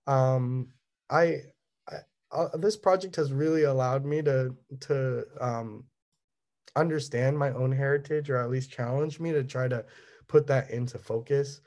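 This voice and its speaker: A young man walks through his slides at an artist talk, pausing often with ums and self-corrections. His voice is mellow and mid-pitched. Cantonese was his first language, though he speaks English here. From Southern California. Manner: halting, many ums and restarts. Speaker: resident artist.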